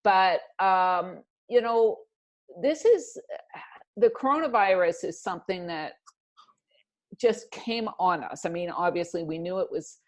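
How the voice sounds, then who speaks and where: Lower-voiced middle-aged woman. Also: expressive, a little slow. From Canada. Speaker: interview guest, executive coach. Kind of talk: reflective conversational interview.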